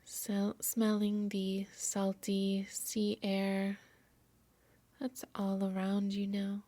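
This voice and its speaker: A woman yoga nidra instructor softly narrating a guided meditation in a soothing, measured, breathy voice. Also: mid-range voice, monotone, dry recording. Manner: soft, soothing, measured delivery.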